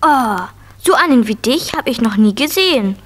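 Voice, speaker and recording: A woman's voice: fairly high pitch, lively intonation, moderate pace. An actress playing a curious, eager four-year-old girl in a 1970s children's radio play, frank and childlike. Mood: curious, delighted. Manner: bright-eyed, childlike, frank. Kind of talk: 1970s children's audio drama acting.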